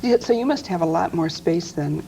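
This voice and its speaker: A woman hosting a talk show, chatting eagerly and jumping in with quick questions. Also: lowish voice, expressive, creaky, warm tone, a little hiss or hum, rough recording. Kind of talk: casual interview chat.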